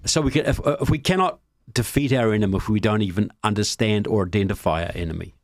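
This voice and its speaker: A man's voice: fairly low pitch, fast talker, no echo, clean studio sound. A man with a New Zealand accent, a guest on a podcast interview, explaining his views in an earnest, emphatic way.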